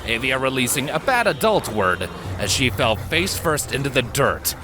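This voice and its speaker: A man reading a story as an audiobook narrator, with dramatic, suspenseful and deliberate phrasing. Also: mid-range voice, big pitch swings, music in the background.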